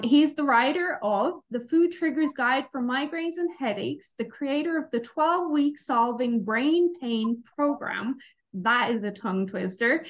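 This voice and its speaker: A woman's voice: on the high side, a bit bright. A woman with an Irish accent, hosting an interview podcast and introducing her guest.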